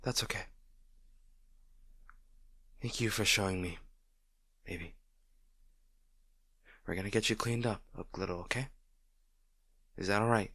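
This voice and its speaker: A man playing a gentle, protective boyfriend in an intimate roleplay audio, speaking in a tender, reassuring way. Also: on the low side, a little slow, long pauses, dry recording, heavily acted. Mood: tender, soothing. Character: gentle, protective boyfriend comforting a distraught partner.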